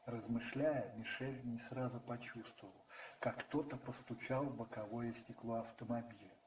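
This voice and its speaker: A man narrating a radio play dramatically from a script, in measured phrases with pauses. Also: medium pitch, fairly even intonation, a little hiss or hum. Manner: measured, pausing between phrases. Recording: roomy echo, distant, faint music behind.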